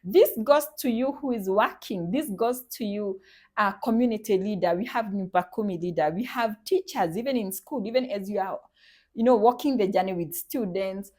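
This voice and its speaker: Kenyan lady psychologist talking to her viewers, earnest and pushy, says stuff twice, middle pitch. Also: normal speed, a little mellow. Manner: earnest, urging, repeats her points. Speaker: psychologist and center director. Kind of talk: promotional talk to viewers.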